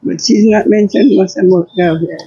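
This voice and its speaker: Older woman, creaky voice. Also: mid-range voice, fairly even intonation, moderate pace, mellow, muffled recording. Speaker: interview guest and poet. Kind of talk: reminiscing in conversation and reciting own poetry.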